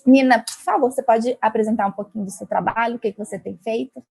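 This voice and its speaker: A woman's voice: fairly high pitch, steady tone, quick pace, gravelly, a little mellow, husky. A woman moderating an online panel, introducing the guests in a friendly way over a video call.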